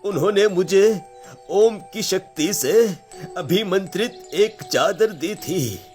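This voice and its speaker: Old village man for a cartoon male actor, resolute, halting, very slow delivery over music. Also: high-pitched, lively intonation, a little mellow. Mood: grave, resolute. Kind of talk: cartoon story dialogue.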